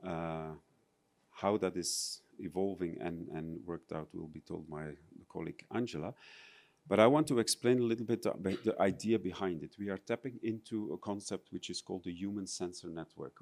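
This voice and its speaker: Low-voiced Belgian man presenting. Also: normal speed, a little mellow. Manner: hesitant, many fillers and restarts, non-native phrasing. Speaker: conference presenter. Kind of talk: conference presentation.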